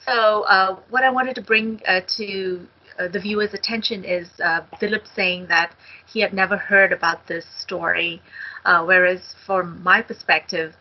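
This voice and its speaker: A middle-aged woman from India, co-author, talking on a video call from a home study with a headset, halting with many ums. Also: medium pitch. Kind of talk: casual talk on a video call. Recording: dull lo-fi video call audio.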